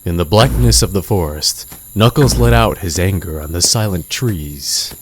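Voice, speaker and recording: Low-voiced man narrating a story. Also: noisy background. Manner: measured, dramatic.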